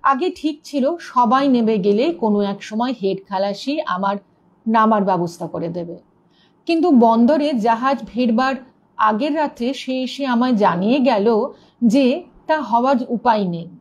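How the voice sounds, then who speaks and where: High-pitched woman reading a ghost story. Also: lively intonation, measured pace.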